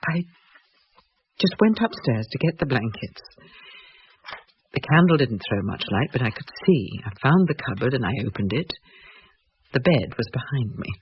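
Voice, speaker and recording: Scared woman, defensive and sharp, voice swings up and down, muffled old radio horror play. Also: very deep voice, big pitch swings, normal speed, pauses often, a little hiss or hum. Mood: shaken. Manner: emphatic, dramatic, defensive. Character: frightened, sharp-tongued rationalist woman, Margaret, insisting nothing happened. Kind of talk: British radio horror drama acting.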